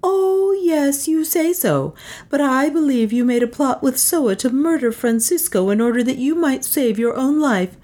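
Woman acting a high-pitched, distraught young heroine. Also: expressive, mellow. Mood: angry, accusing. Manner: wailing and indignant, drawn-out cries. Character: distraught, accusing young woman, fearless and passionate. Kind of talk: dramatised audiobook dialogue.

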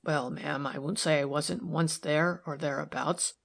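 A stolid working-class man from a storybook, acted out by a woman narrator. He is low and gravelly, solemn and respectful, with rustic, ungrammatical turns of phrase. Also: moderate pace. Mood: wry, modest. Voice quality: deep. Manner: rustic, ungrammatical, deferential speech.

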